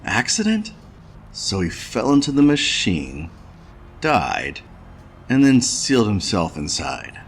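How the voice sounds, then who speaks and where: Low-voiced skeptical space station repairman. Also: huge pitch swings, takes it slowly, slight background noise, full character voice. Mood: skeptical, probing. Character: skeptical male space station repairman, new on the job, stubborn. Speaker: video game protagonist. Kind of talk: noir point-and-click game voice acting.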